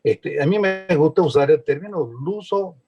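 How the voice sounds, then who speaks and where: A man's voice: a little high, lively intonation, moderate pace, muffled recording, soft background music. A man in his sixties, born in Portugal, speaking as a guest on a video-call panel in a casual, hesitant way, often repeating words as he starts a thought. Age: 60s. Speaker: guest panelist, community activist. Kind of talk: casual discussion.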